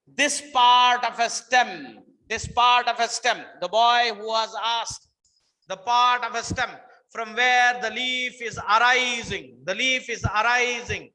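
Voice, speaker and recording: Man, Indian English accent, botany teacher, high bright voice, repetitive questioning, reverberant hall sound. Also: steady tone, slow pace. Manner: repetitive, questioning students, mixes in Hindi. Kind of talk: online botany lesson.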